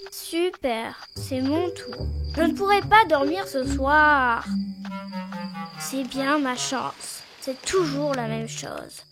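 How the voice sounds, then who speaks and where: A woman's voice: sits high, takes it slowly. A woman voicing a sensible, weary little girl in a French children's cartoon, childlike and matter-of-fact. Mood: weary, grumbling. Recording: children's music underneath.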